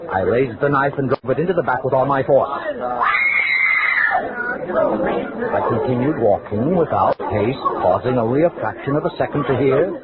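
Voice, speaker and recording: A man's voice: medium pitch, brisk, a loud crowd, very noisy, close to an everyday voice. An actor playing a cold, precise, increasingly tormented scholar who narrates his murder journal in formal, self-important diction in an old radio drama. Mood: coldly clinical. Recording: vintage radio, narrow band, music behind.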